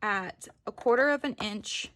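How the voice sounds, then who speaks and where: Slow-paced woman giving a craft tutorial. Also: medium pitch, a bit bright. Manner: informal, self-correcting, thinking aloud. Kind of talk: casual step-by-step craft tutorial.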